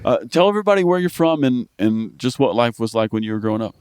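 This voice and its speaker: A man's voice: medium pitch, lively intonation, quick pace, darkish tone, clear, resonant tone, no echo, studio quality. An American man hosting a podcast, asking questions in an upbeat, casual way. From United States. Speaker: podcast host. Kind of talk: casual interview.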